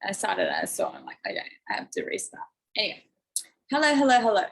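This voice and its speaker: A woman life coach chatting effusively on a livestream, her voice croaky and hoarse. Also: medium pitch, huge pitch swings, fast talker. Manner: bubbly, effusive, lots of "like", coughing. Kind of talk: casual livestream chat. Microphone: phone or laptop livestream.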